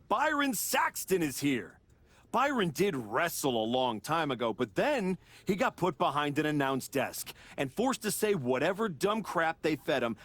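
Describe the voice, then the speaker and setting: Arrogant wrestler with swooping pitch. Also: male voice, fairly bright tone, no echo, exaggerated voice. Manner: contemptuous, biting sarcasm. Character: arrogant, bitter male wrestler on a comeback. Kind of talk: press conference rant in a video game.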